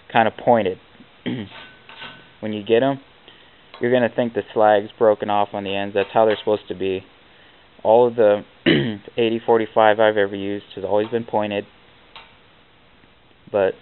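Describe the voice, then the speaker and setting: A man teaching welding basics in a casual, plainspoken way while chalking on a steel plate in a workshop. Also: lowish voice. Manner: plainspoken, blunt, with fillers like "like". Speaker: welding instructor. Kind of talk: casual hands-on tutorial. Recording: tinny lo-fi camera audio with hiss.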